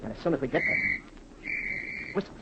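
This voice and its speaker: An American actor playing a ruthless gangster leader in a 1930s radio drama, barking curt, slangy orders. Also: male voice, mid-range voice, lively intonation, a little fast, natural voice. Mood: urgent. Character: ruthless, snarling gangster holdup leader Duke. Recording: very noisy, dull 1930s radio recording.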